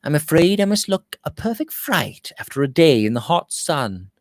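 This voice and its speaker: Man putting on a vain, high, swooping young lady. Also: normal speed, dry recording, studio quality. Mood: weary, coy. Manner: animated, gushing, haughty. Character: vain, frivolous, prattling young lady of the manor. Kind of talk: Victorian novel read aloud.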